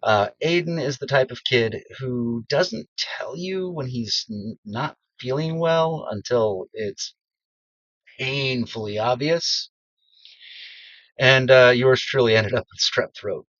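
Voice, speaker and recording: A man's voice: medium pitch, slow pace, a bit bright. A middle-aged man talks casually and self-deprecatingly, stopping on plenty of ums, his voice still stuffy from a throat infection. He records in a small cedar closet with no echo at all. The sound is slightly muffled and lo-fi. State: congested, recovering from strep throat. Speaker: beginner voice actor. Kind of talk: casual personal vlog.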